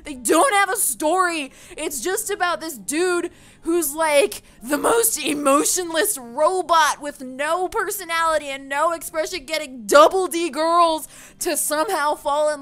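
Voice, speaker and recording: A woman's voice: high voice, unhurried, a bit bright. A woman giving a casual, opinionated rant to viewers, passionate and emphatic, with loose swearing, as a gaming video creator.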